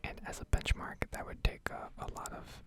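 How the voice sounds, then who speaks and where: A woman whispering a rambling, improvised ASMR game commentary in a hesitant, unhurried way, with a breathy, creaky edge. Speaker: gaming ASMR creator.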